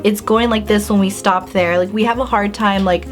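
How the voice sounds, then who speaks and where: A woman's voice: medium pitch, normal speed. Young woman vlogging, bubbly storytime, lots of "like", faint music in the background. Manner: bubbly, animated storytelling with lots of "like" and acted-out reactions. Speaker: beauty and lifestyle vlogger. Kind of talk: casual storytime vlog.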